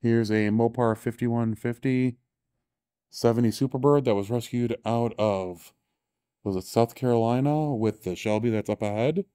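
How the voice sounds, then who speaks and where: A man's voice: mid-range voice, darkish tone, clean tone, studio quality. A man giving an enthusiastic, offhand walking tour of cars in a large indoor exhibition hall, correcting himself as he goes. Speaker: show tour guide and author. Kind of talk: casual walking tour commentary.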